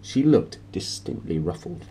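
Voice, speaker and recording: A man reading a children's story aloud in an expressive narrating style, as a storyteller would. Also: low voice, unhurried, a little hiss or hum. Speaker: storybook reader.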